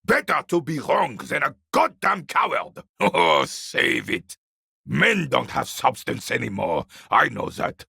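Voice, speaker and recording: Gravelly, bitter old war veteran. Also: male voice, mid-range voice, very animated, takes it slowly, bright, forceful tone, no room sound, studio quality, exaggerated voice. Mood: scolding. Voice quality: gravelly, raspy. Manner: gruff, scornful, theatrical, barking at younger men. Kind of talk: video game character dialogue.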